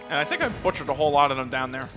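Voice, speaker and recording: A man's voice: fairly high pitch, sing-song, rapid-fire, over music. A man co-hosting a let's play, joking and riffing in casual commentary. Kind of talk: casual game commentary banter.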